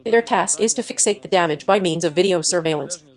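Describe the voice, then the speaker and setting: A synthetic woman's voice reading a machine-translated voice-over dub with stiff, even phrasing and odd word choices. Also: on the low side, fairly quick. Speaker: AI dub voice for every speaker.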